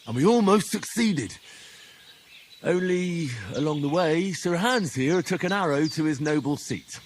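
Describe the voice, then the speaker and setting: Modest young commoner hero, game cutscene; plain-spoken, dry wit, lively mid voice. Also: male voice, a little high, unhurried, slight background noise. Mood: wry, teasing. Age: young adult. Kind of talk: video game cutscene acting.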